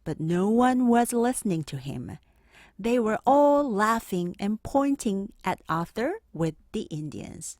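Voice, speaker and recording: A woman narrating a children's picture book aloud in an expressive, clearly phrased storytelling manner. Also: mid-range voice, slow, no room sound, studio quality.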